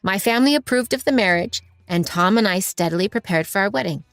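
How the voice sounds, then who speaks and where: A woman's voice: mid-range voice, animated, fast, dry recording, soft background music. A woman reading a scripted first-person story in a dramatic narrating style. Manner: voices dialogue of several characters.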